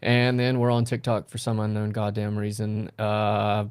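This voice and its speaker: A man's voice: on the low side, completely flat delivery, normal speed, a little mellow, smooth, dry recording, clean studio sound. An American man hosting a comedy stream in casual, joking, sweary banter with friends. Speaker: host of a comedy talk stream.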